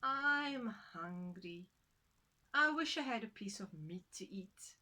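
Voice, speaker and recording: A woman's voice: mid-range voice, animated, slow, pushed and bright, heavily acted. A woman voicing a kind, easily flustered woodcutting wife in an exclamatory storybook style. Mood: grumbling. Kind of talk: storybook character voice.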